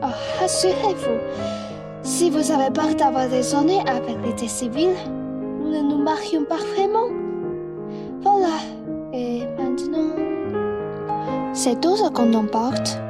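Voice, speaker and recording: A woman's voice: sits high, lively intonation, slow pace, pauses often. A young woman playing a lovestruck, headstrong young woman who pleads and insists in a comic radio play. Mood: eager, bossy. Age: young adult. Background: music.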